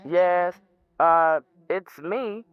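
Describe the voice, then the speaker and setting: A man's voice: high-pitched, extremely slow, smooth, dry recording. A man playing a nervous, apologetic kid who hesitates and stammers in an audio drama, with a thin phone-like sound. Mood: sheepish.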